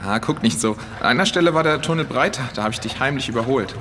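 A cocky, taunting teenage boy acted by a male in a children's radio play. His pitch is middling. The voice trails long reverb with faint noise behind it. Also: quick pace, fairly bright tone, close to an everyday voice. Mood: smug. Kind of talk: children's audio drama acting.

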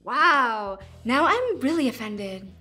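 A woman's voice: on the high side, big pitch swings, slow, bright, forceful tone, breathy edge, faint music behind, exaggerated voice. An actress playing an easily offended young woman in a comedy film, emphatic and incredulous. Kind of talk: scripted comedy film acting.

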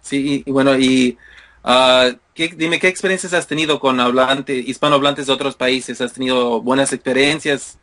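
A man's voice: medium pitch, steady tone, normal speed, fairly bright tone. Chatty Mexican man interviewing. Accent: Mexican Spanish. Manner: friendly, rambling questions with fillers. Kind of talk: casual conversation.

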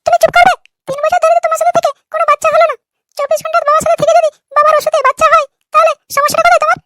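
A man voicing an insistent young wife in a comic cartoon, with a squeaky, artificially pitched-up voice. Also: little pitch movement, racing, sharp tone, no room sound, clean studio sound. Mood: scolding. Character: childless young wife, pleading yet bossy with her husband.

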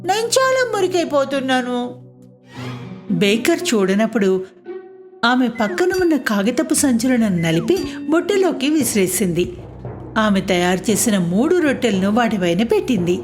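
A woman's voice: on the high side, big pitch swings, moderate pace, music in the background. A woman storyteller reading scripted narration for a children's moral tale.

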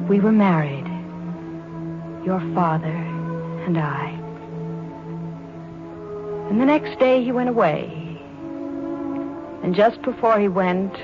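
An actress playing a proud, wounded mother, with solemn, halting delivery in 1940s radio drama style. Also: mid-range voice, muffled recording. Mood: solemn, wistful. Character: wounded, proud wartime mother telling her story to her son.

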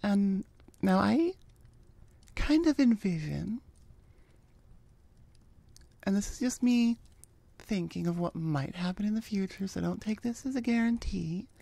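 Female host for an informal outro: unhurried, gravelly fry, soft dark tone. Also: medium pitch, lively intonation, no echo. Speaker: channel host and voice actor.

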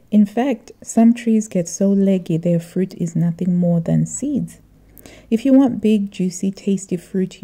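A middle-aged woman narrating a reflective vlog voice-over in a measured, earnest way. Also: lowish voice, dark tone. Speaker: vlogger.

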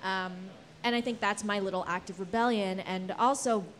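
Casting: young woman, medium pitch, earnest rambling storyteller, casual panel-discussion delivery. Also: steady tone, normal speed, a little hiss or hum. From Philippines, Singapore and Guam. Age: young adult. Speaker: panelist, theater actor. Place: indoor event space with brick wall. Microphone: handheld event microphone.

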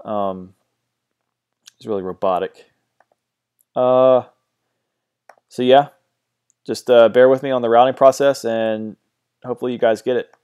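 A man giving a casual software tutorial, hesitant and full of ums and restarts, recorded on a mono home mic. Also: mid-range voice, steady tone, unhurried, long pauses, smooth, lo-fi sound. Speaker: tutorial host.

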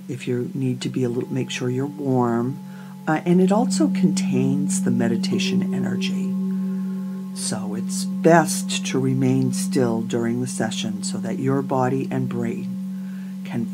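A woman leading a guided meditation as a yoga nidra teacher, speaking calmly and soothingly, with deliberate pauses between short phrases. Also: extremely low pitch, low-quality recording. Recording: voice over prominent meditation music.

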